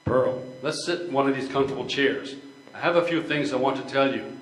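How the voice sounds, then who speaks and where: A man's voice: mid-range voice, a little fast, very noisy, natural voice. An older man playing a genteel, self-deprecating would-be actor in a confiding, conversational stage play reading. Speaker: amateur readers theater performer. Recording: echoey room.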